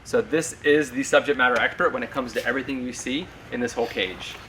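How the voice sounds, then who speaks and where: An American man talks quickly and with energy as he guides a group and introduces a colleague. His voice comes from some distance from the camera. Steady warehouse hum sits under his words. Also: medium pitch. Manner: upbeat, introduces people and sums up. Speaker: company tour guide. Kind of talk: guided tour talk to a group. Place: large warehouse, indoors. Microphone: far camera held by a tour member. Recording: distant, noisy warehouse recording.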